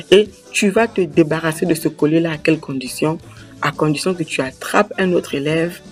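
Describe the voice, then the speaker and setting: A young man speaks with passionate emphasis. He hammers points home by repeating phrases, and his voice sits fairly high. Faint music runs underneath. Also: darkish tone. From Republic of the Congo. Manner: emphatic, repeats phrases for weight, building intensity. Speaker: host. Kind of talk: impassioned monologue on language and culture.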